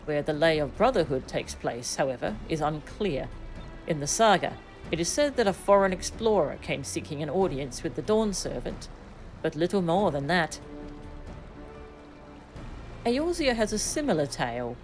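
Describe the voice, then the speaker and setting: A woman voicing a composed scholarly adviser from a video game, formal and measured in manner. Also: deep voice, sing-song, a little mellow, soft background music, poor audio. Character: composed, scholarly explorer-adviser explaining legends. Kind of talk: video game dialogue read aloud.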